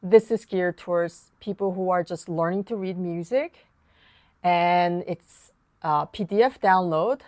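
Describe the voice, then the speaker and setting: Woman piano teacher, Korean native speaker, slow unhurried delivery, dry close sound. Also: on the low side, no room sound. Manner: calm, unhurried explaining with casual fillers. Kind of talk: online lesson talk.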